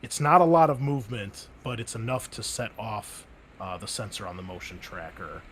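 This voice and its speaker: A man acting as game master, narrating a tabletop roleplaying game and explaining things in a conversational, halting way. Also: fairly low pitch, background noise.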